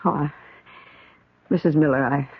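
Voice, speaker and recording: An actor playing a grave doctor in a 1970s radio drama, speaking gently and haltingly. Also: male voice, on the high side, fairly even intonation, background noise, natural voice. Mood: grave, hesitant. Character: hesitant, grave doctor breaking bad news gently. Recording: narrow, tinny old radio broadcast.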